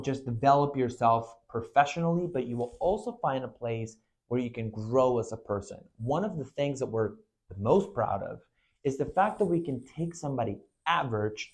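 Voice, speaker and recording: A man's voice: medium pitch, darkish tone. A man from Venezuela in his twenties delivers a motivational recruiting talk for his own agency. He speaks earnestly and in a mid-range voice, often leaning on "you know" and "right" as he goes. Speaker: agency owner recruiting staff. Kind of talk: recruitment video monologue.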